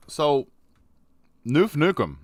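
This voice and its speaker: A man hosting a casual gaming podcast, measured, talking things through in long stretches. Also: a little high, very animated, vocal fry, slightly rough, no echo, studio quality. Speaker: podcast host.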